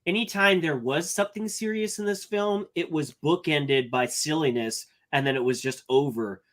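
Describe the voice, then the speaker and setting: A man's voice: fairly high pitch, normal speed, fairly bright tone. A man co-hosting a casual nerd podcast, critiquing a movie point by point. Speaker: podcast co-host. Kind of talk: casual chat.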